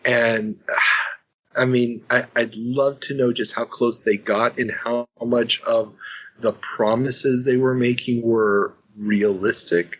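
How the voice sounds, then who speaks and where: A man hosting a podcast in casual chat, joking about himself with quick asides. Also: mid-range voice, fairly even intonation, a bit bright, husky. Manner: chatty, self-mocking, quick asides. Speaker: podcast host. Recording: slightly dull lo-fi podcast audio.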